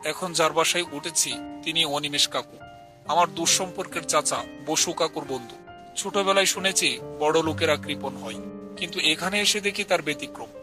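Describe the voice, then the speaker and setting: A man voicing a polite young villager who tells a scripted ghost story in a measured, earnest way. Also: on the high side, lightly acted. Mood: earnest, uneasy. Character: polite young village man from a poor family recounting his ghost encounter. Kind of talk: scripted horror story narration with acted dialogue. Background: music.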